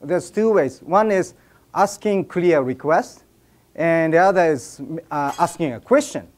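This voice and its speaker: A man's voice: fairly high pitch, animated, a little slow, darkish tone. A man with a Japanese accent gives a humorous talk to a live audience, getting people to join in. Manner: jokey, drives audience participation. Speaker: speaker at an event. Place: event room with an audience.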